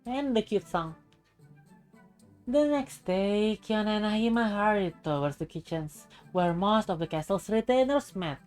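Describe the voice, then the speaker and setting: A woman streamer narrating a visual novel aloud in a deliberate, expressive storybook style. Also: mid-range voice. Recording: music behind the voice. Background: game music.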